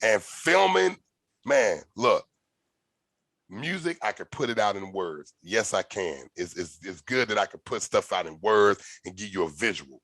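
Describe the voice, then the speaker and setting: A man hosting a podcast, telling stories in a loose, animated way, with slang and swearing. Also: fairly low pitch, measured pace, no echo. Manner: animated, profane storytelling with slang. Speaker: podcast host. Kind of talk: casual podcast conversation.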